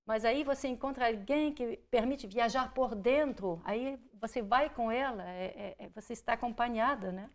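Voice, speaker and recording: A woman's voice: a little high, moderate pace, few pauses. Woman speaking thoughtfully. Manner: searching, restarts words, frequent "sabe?". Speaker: literature scholar guest. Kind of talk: reflective interview answer.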